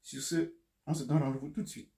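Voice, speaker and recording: A man's voice: on the high side, fairly even intonation, brisk, vocal fry, warm tone. Cameroonian-accented man in an echoey room. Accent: Cameroonian French. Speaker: video host and geopolitical commentator. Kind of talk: explanatory monologue to subscribers. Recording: echoey, roomy sound.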